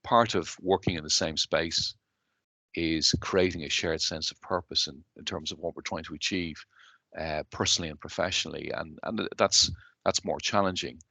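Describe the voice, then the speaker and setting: Man, Dublin Irish accent, very deep voice, dry close sound, measured businesslike answers. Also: steady tone, creaky, sharp tone, no room sound. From Ireland. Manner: measured, businesslike, frequent uh fillers and restarts. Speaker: guest, company chief executive. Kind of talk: interview answers.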